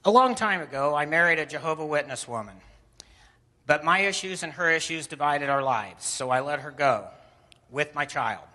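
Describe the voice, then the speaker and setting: A man's voice: a little high, bright, forceful tone. Echoey, distant man telling his story. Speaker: speaker telling a personal faith story. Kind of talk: prepared spoken personal narrative before an audience. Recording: echoey room, distant mic, clear background noise.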